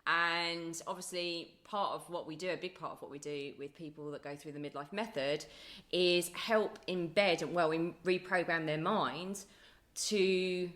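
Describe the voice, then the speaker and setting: Loud, bright-voiced woman. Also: on the low side, unhurried. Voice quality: loud, animated and laughing. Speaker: podcast co-host. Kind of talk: casual chat.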